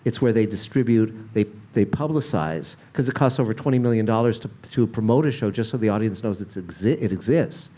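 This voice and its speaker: A man's voice: lowish voice, animated, warm tone. Older male showrunner, casual panel host, stop-start delivery, handheld mic. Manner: halting, many restarts and fillers. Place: convention panel room.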